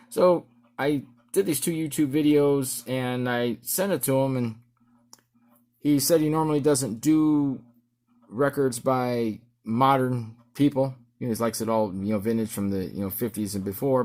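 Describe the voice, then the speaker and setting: Man, middle-aged, casual show-and-tell vlog, halting rambling delivery, medium pitch. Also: a little mellow. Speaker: amateur musician presenting his record.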